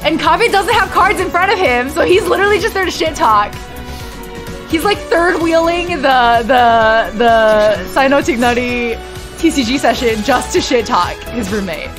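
Lively, chatty young woman streamer. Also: high-pitched, moderate pace, over music. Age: young adult. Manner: chatty, expressive, playful. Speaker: streamer reacting to a game trailer. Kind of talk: casual reaction chat. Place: indoor room, livestream webcam.

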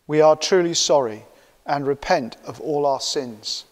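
An older man leading church prayers and a confession in a measured, solemn recitation. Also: on the high side. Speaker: minister leading the service. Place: church sanctuary, indoors. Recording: long church reverb.

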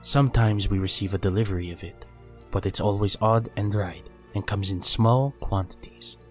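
Narrator as guarded male ninja, serious, measured, fairly low voice, fantasy audiobook, light music underneath. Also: fairly even intonation, lightly acted. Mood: calm, focused. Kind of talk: audiobook character dialogue.

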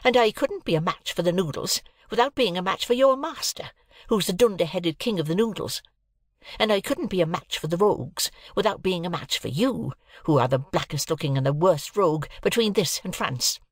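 Shrill, shrieking shrew of a wife. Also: lively intonation, slow pace, few pauses, bright, forceful tone, no room sound, studio quality, heavily acted. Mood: mounting rage. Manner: scolding, self-inflaming, exclamatory. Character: shrewish, raging married woman, theatrically hysterical scold.

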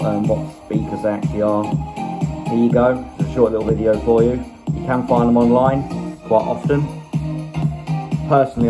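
Flat, low-key man reviewing gear. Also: low-quality recording. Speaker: video channel host, product reviewer. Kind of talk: casual unscripted product review. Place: small room indoors. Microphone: phone microphone, held behind the camera.

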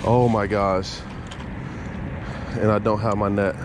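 A man narrating his fishing to viewers from a small boat outdoors, excited running commentary, recorded on a head-mounted action camera. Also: lowish voice, slow pace, background noise. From Florida. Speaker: fishing vlogger. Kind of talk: first-person fishing narration.

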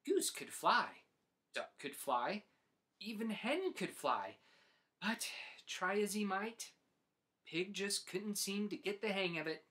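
A man in his forties narrating a children's storybook as host, with deliberate, dramatic phrasing. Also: sits high, very animated, sharp tone. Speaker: host reading picture books aloud.

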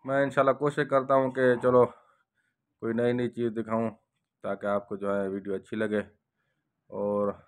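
Soft-spoken devout man filming a bakery. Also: lowish voice. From Pakistan. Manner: slow, devout, repeats blessings often. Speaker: vlogger. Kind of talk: casual walk-through vlog with prayers. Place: bakery shop indoors.

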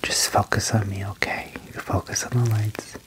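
A young man whispers gently and reassuringly in an ASMR medical roleplay as a doctor, close to the microphone. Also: fairly low pitch, flat delivery, moderate pace. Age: young adult. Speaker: ASMR performer role-playing a doctor. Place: small indoor room. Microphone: close desk mic with furry windscreen.

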